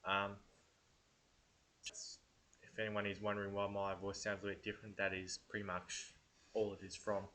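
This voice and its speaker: A man's voice: fairly low pitch, monotone, normal speed, pauses often, bright, forceful tone. A man with a head cold, talking casually and hesitantly through ideas for a game video, full of fillers and restarts. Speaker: gaming channel host. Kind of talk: casual unscripted commentary.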